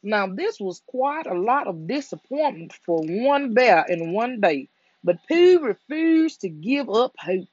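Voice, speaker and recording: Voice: mid-range voice, very animated, slow, a little mellow, dry recording. Soothing bedtime storyteller, dry muffled recording. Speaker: storyteller reading a children's book aloud.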